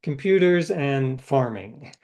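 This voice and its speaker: A man's voice: mid-range voice, animated, takes it slowly, a little mellow. A middle-aged man, an author being interviewed, telling stories in a thoughtful, meandering way with restarts. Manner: thoughtful, restarts and trails off between ideas. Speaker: interview guest, novelist. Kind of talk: reflective conversational storytelling.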